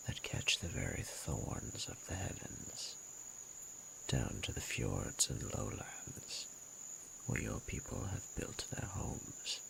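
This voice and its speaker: Man voicing a very gravelly, regal flirtatious dragon. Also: pushed and bright, slight background noise, exaggerated voice, low-quality recording. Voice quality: very deep, breathy, gravelly. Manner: formal, archaic, teasing and courtly. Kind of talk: romantic audio roleplay, intimate slow monologue.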